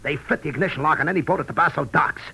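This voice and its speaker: An actor playing an agitated, uneasy man in an old radio crime drama, with excitable, halting speech. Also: fairly high pitch, creaky, poor audio. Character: agitated, uneasy male friend of a fugitive. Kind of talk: 1940s-50s radio drama acting. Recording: old radio recording, faint noise.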